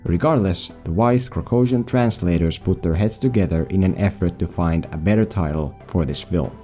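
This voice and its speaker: Low mellow guy, english not his first language, snarky voice-over, faint music under it. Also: moderate pace. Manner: sarcastic, mock-dramatic. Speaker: film commentary video host. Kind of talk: scripted comedic voice-over commentary.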